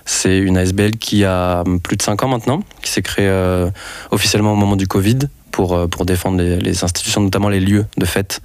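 A man's voice: low voice, steady tone, a little fast, no echo. A young man from France, a festival organizer speaking as a radio interview guest, hesitant with many restarts. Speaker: festival organizer and network coordinator.

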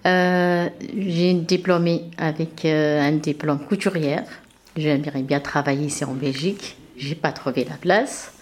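A woman's voice: deep voice, fairly even intonation, measured pace. A woman, introducing herself in short, simple sentences. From Algeria. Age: 40s.

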